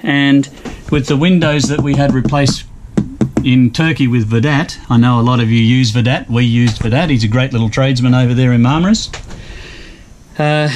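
Older man, Australian accent, folksy, thinking aloud, boat cabin, action camera, medium pitch. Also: noisy background. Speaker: sailing vlogger. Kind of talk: casual vlog narration. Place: sailboat cabin.

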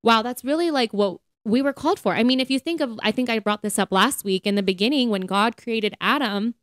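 A woman's voice: fairly high pitch, brisk, dry recording. Woman chatting casually, close and dry. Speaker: podcast co-host. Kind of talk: casual faith conversation.

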